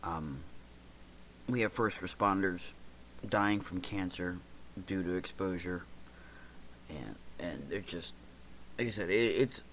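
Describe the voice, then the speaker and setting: A man in his forties from the United States, hosting a reflective personal talk, stuffy and congested, speaking haltingly with many fillers and restarts. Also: sits low, fairly bright tone, a little hiss or hum, rough recording. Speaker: podcast host.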